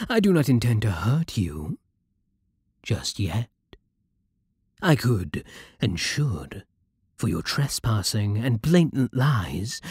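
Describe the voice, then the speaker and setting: A man with a British accent voicing a possessive, regal vampire king in an ASMR roleplay, soft, breathy and condescending. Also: lowish voice, dry recording, clean studio sound, exaggerated voice. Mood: coolly threatening. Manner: slow, menacing, haughty purring.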